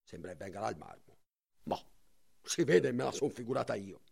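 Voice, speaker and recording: A woman acting a fussy, scolding marble cutter and exasperated father in a theatrical radio play, with a creaky, strained voice. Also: rumbling low, animated, fairly quick, a crowd in the background, exaggerated voice. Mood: puzzled.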